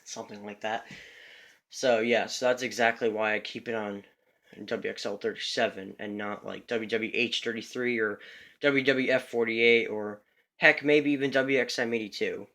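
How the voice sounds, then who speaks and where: A man's voice: fairly low pitch, steady tone, moderate pace, a bit bright. Man, casual home video, rambling, lots of ums and restarts, self-correcting, phone-recorded room. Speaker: radio collector showing his radios. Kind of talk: casual show-and-tell vlog. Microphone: phone camera.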